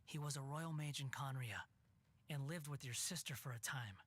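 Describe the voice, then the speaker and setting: A man's voice: mid-range voice, normal speed, husky. A man voicing a proud, bitter young wanderer in a video game, speaking coolly and sardonically. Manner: cold, sardonic, quiet. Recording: game audio with soft music.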